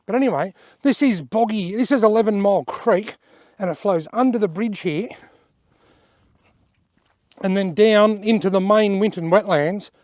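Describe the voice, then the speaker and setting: Hoarse, high-voiced Australian man touring outdoors. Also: moderate pace, long pauses, a little mellow, no echo, studio quality. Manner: chatty and plain-spoken, pointing things out as he goes. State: slightly hoarse from a bug. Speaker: outdoor vlogger giving a tour. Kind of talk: casual walking-tour narration. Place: outdoors at wetlands by a creek and car park.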